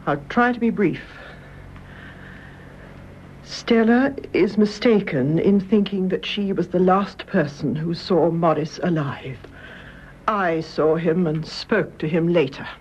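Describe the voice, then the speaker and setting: An actress playing a grave, loving elderly British mother in a radio drama, speaking in a measured, gentle, solemn way. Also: on the low side. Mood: calm, grave. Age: older. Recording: muffled radio recording, clear background noise.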